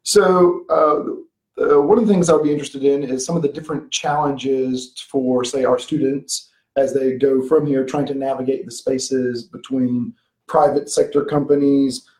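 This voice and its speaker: Mellow-voiced man hosting a podcast. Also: mid-range voice, fairly even intonation, normal speed, low-quality recording. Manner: chatty, laughs easily, hesitant with ums. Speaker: podcast host. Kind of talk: casual interview.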